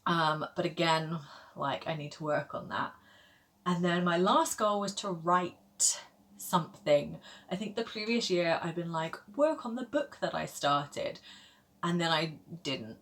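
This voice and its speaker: A woman's voice: on the low side, a little slow, a bit bright. A woman, chatting casually to camera as a book vlogger, rambling with lots of fillers and little laughs. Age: 30s.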